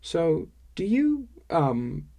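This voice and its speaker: A man's voice: a little high, huge pitch swings, extremely slow, vocal fry, dark tone, voice pushed up, exaggerated voice. Male narrator as smitten young man, awkward, hesitant, earnest, educated, easily embarrassed, fantasy audiobook dialogue. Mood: nervous, fond.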